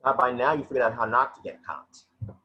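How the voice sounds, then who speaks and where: A man's voice: medium pitch, fairly quick, sharp tone. A man playing a dogged, defiant woman police detective in an amateur online table read. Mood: sardonic. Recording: muffled video-call audio with noise.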